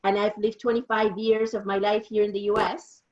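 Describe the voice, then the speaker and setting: A woman's voice: mid-range voice, little pitch movement, normal speed, a little mellow, highs cut off. A woman of fifty with a Mexican accent, a native Spanish speaker, giving an informal explanatory presentation as an interpreter.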